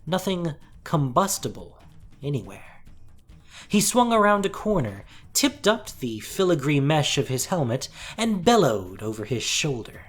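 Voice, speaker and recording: A woman narrating fantasy fiction in a deliberate, theatrical and intense way. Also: sits low, sing-song, slightly rough, soft background music.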